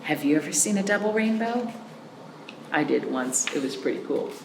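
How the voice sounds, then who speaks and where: A woman hosting a children's storytime as a librarian, reading aloud with chatty asides and simple, animated explanations with little check-in questions. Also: low-pitched, normal speed, gravelly, reverberant, background noise. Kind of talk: picture-book read-aloud with chatty asides for young children.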